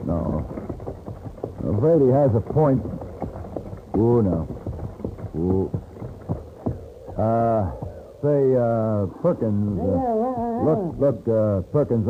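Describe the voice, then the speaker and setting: A level-headed gunfighter for hire in a radio western. His voice sits fairly low and moves expressively, polite and dryly wry. It comes through as a narrow, noisy old radio recording.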